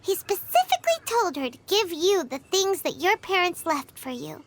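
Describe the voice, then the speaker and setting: A woman's voice: extremely high pitch, big pitch swings, exaggerated voice. A woman voicing a tiny, chatty floating fairy companion with a chirpy, curious way of talking in a game cutscene. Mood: reassuring. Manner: chirpy, quick reactions, curious questions.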